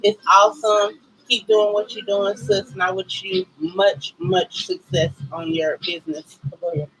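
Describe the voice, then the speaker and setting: A woman with a Southern US accent, co-hosting a couples livestream, chatty and quick to jump in. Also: medium pitch, flat delivery, fairly bright tone, slight background noise, low-quality recording. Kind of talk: casual livestream chat.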